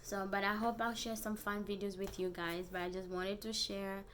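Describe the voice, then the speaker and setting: A young woman from Africa with an African accent, a native Bemba speaker, chatting casually and earnestly to viewers in a vlog, haltingly and often repeating phrases. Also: mid-range voice, little pitch movement, fairly bright tone, a little hiss or hum. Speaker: vlogger. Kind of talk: casual personal vlog chat.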